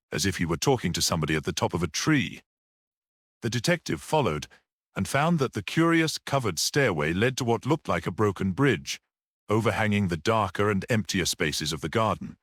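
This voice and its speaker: A man's voice: deep voice, a bit bright, dry recording, studio quality. A man reading a mystery story aloud as an audiobook narrator.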